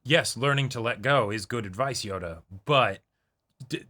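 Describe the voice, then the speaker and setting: A man's voice: mid-range voice, moderate pace, pushed and bright. A man co-hosting a reaction show in casual chat, conversational and often checking in with his listener as he makes a point. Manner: conversational, often checks in with "right?" and "you know".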